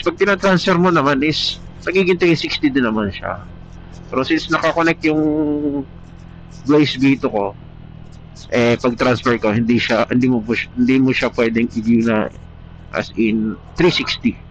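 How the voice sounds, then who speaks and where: A Filipino man with a stuffy, congested voice from a cold, chatting casually and a bit hesitantly as a motovlogger, slipping into English phrases. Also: medium pitch. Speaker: motovlogger reviewing gear. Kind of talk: casual vlog chat. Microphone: helmet Bluetooth intercom. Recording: dull, noisy Bluetooth intercom mic, vehicle sound.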